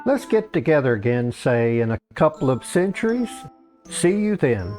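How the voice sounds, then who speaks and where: A man voicing an elderly moon goddess in a comic fantasy story, a grand yet brisk and businesslike grandmother. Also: mid-range voice, big pitch swings, a little mellow, faint music behind, close to an everyday voice.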